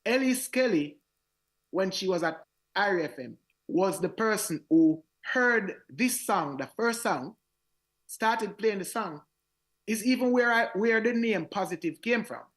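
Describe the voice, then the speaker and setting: A man with a Jamaican accent tells his story in a radio interview, his voice raspy. He speaks with animated energy, often doubling back to repeat his words as he goes. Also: high voice, measured pace. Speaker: reggae singer, guest.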